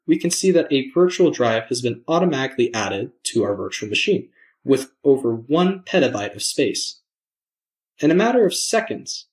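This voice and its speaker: A man presenting a software demo in scripted narration, measured and explanatory, enunciating his words. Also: mid-range voice, poor audio.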